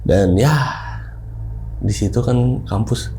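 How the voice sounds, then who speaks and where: Young man, relaxed host, warm mellow voice, slangy casual chat. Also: on the low side, slow, a little hiss or hum. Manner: relaxed, chatty, uses Jakarta slang. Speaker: podcast host. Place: studio.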